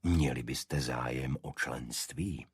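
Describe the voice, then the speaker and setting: A man voicing a small, dignified aristocratic cult leader in an audiobook, with a deep voice and a monotone, chant-like delivery. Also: husky, no room sound, clean studio sound, full character voice. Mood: proud, pleased. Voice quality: unexpectedly deep. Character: small energetic nobleman, cult leader, dignified, secretive zealot.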